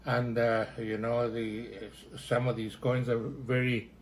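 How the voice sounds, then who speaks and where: An older man from Chile with a Chilean Spanish accent, chatting at home in a halting way, full of fillers and restarts. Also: mid-range voice, flat delivery, a little hiss or hum. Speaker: interviewee. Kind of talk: casual conversation. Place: living room at home, indoors.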